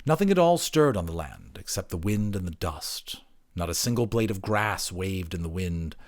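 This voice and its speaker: A man reading an audiobook as a dramatic, expressive storyteller. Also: deep voice, normal speed. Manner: theatrical, expressive. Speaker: audiobook narrator.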